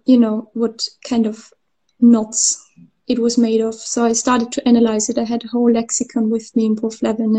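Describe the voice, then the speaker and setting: A woman from Austria speaks as the guest in a relaxed interview. She pauses often and restarts her sentences. Her voice is mellow and fairly high, but her pitch stays quite even. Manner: hesitant, many fillers and restarts. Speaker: artist interviewee. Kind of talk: conversational interview.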